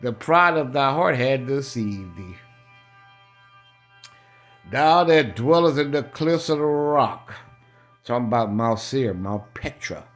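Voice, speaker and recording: A man's voice: mid-range voice, expressive, takes it slowly, long pauses, fairly bright tone, soft background music. An American man preaching in a colloquial, emphatic and repetitive way, reading scripture aloud and adding his own running commentary. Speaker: street-style Bible preacher.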